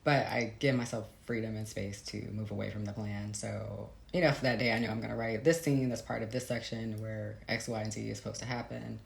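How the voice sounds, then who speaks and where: Very low, creaky American woman, roomy sound. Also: steady tone, a little fast, few pauses. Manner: informal, hesitant, laughs often, frequent "like" and "you know". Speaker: author being interviewed. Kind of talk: casual reflective interview talk. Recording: roomy echo, faint background noise.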